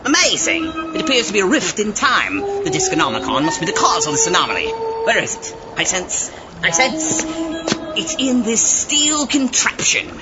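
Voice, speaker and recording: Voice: mid-range voice, wildly swooping pitch, moderate pace. An actor playing a theatrical, pompous mystic doctor who senses occult anomalies in a comedic audio drama. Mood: awed, dramatic. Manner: theatrical, dramatic, swooping delivery. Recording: muffled lo-fi audio drama recording. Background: loud music.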